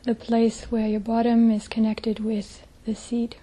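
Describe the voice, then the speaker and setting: A woman leading a guided meditation as a teacher, speaking gently in a soft, breathy, hushed voice with long pauses. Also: fairly high pitch, monotone.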